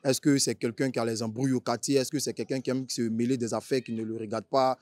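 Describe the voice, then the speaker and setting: A male interviewer with an Ivorian accent talks in a mid-pitched voice, recorded dry and close. He is chatty and informal, peppering questions with slang and filler tags as he rewords them. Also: flat delivery, quick pace, darkish tone, no room sound.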